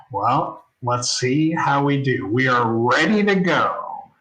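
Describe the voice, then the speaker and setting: A man co-hosting an online spelling bee over a video call, drawing his words out slowly. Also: mid-range voice, animated, sharp tone, poor audio. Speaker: co-host.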